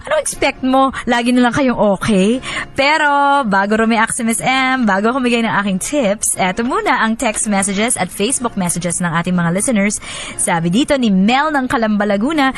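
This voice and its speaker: A woman with a Filipino accent hosting radio, playful, expressive and slangy, mixing in English as she chats and reads out listener messages. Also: medium pitch. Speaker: radio host. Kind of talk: lively radio talk, reading listener messages and giving commentary. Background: music.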